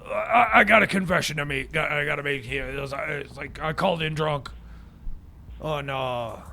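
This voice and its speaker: A man's voice: on the high side, gravelly, pushed and bright, slight background noise. A man playing a blustering, cranky male time machine mechanic who stammers and stalls constantly in an improvised comedy radio bit. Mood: rambling. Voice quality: stammering, halting. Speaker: recurring comic character.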